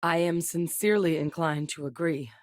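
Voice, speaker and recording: An actress playing a composed, principled middle-aged woman scientist in a space video game, speaking in a measured, earnest way with dry wit. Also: deep voice, no room sound, lightly acted. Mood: measured, serious. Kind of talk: video game character dialogue.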